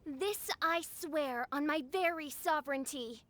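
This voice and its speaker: A grandiose teenage game princess in a high voice. Each phrase is drawn out with theatrical, imperious flourish and antique wording. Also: female voice, measured pace, a bit bright, slight background noise. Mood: haughty, solemn. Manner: theatrical, pompous, archaic diction. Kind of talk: video game character acting.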